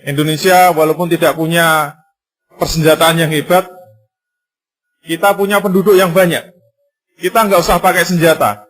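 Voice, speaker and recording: A male Islamic preacher giving a sermon, full of rhetorical questions and emphatic repetition, lively and sometimes joking. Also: a little high, normal speed, pauses often. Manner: lively, conversational and jokey in places.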